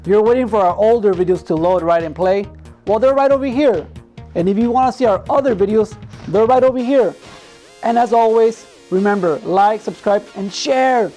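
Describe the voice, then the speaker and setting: A high-pitched American man hosts a casual talk show. He chats informally and repeats himself as he goes, with light music behind him. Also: moderate pace, dark tone.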